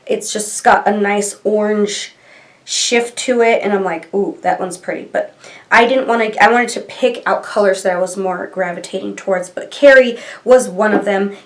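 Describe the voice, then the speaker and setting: A woman in her thirties with a stuffy nose from allergies, chatting casually and rambling as she reviews products in a small room at home. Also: medium pitch. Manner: chatty and rambling, gushing over colors. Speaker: beauty vlogger. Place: small bedroom filming nook, indoors.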